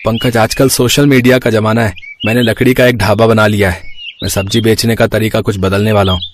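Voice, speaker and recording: A man's voice: on the low side, little pitch movement, fairly quick, a little hiss or hum, close to an everyday voice. A man voicing an earnest, determined young village vegetable seller in a cartoon story, speaking in resolute declarations. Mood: eager, confident.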